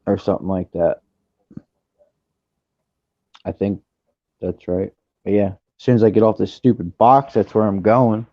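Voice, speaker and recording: A man around thirty chats slowly and loosely, leaning on fillers as he wanders between thoughts. His voice sits low with a gravelly fry. It comes through a headset with dry, dull, lo-fi stream audio. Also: steady tone, dry recording. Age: 30s. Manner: laid-back, rambling with fillers. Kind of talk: casual chat. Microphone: gaming headset.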